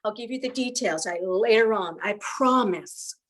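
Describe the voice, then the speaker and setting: A woman's voice: medium pitch, moderate pace, lightly acted. A woman playing an earnest young widowed mother in an amateur radio play, with heartfelt, theatrical delivery. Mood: hopeful.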